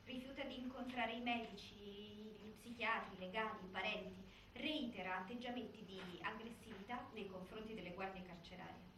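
A woman's voice: mid-range voice, steady tone, moderate pace, hardly any pauses, close to an everyday voice. Actress as sober female narrator, echoey stage. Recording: echoey stage, distant, faint noise.

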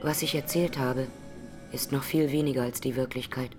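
An actress playing a grieving Armenian massacre survivor who testifies in a radio drama courtroom, plainly, solemnly and with measured words. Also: female voice, rumbling low, fairly even intonation, over music. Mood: grave, sorrowful.

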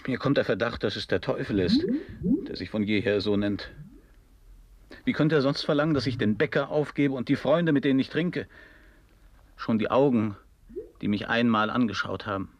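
A man's voice: medium pitch, expressive, normal speed, pauses often, no echo, highs cut off, close to an everyday voice. An actor playing a brooding, troubled man in a radio drama. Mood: uneasy.

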